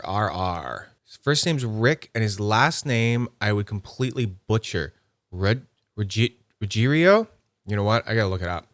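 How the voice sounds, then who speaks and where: A man's voice: lowish voice, a bit bright, no echo. A man giving casual unboxing commentary, chatty and upbeat, thinking aloud as he handles and inspects small items. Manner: nerdy and upbeat. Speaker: YouTube fidget toy reviewer.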